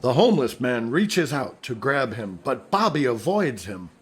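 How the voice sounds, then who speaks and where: A man's voice: mid-range voice, very animated, a little slow, slightly rough. A man reading scripted narration for a radio play.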